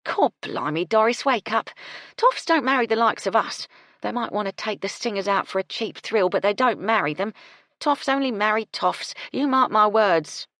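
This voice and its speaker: Loud, blunt kitchen maid, a woman doing her, scolding, swinging pitch. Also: lively intonation, moderate pace, no echo, studio quality, lightly acted. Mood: scornful. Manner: blunt, scolding, swears freely. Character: brash bossy young kitchen maid.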